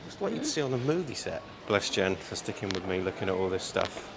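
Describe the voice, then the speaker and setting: A man's voice: sits low, big pitch swings, brisk. A man in his thirties chatting enthusiastically and jokily in a travel vlog on a busy city street. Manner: enthusiastic, jokey. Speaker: travel vlogger. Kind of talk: casual travel vlog chat. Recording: loud street traffic behind. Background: traffic noise.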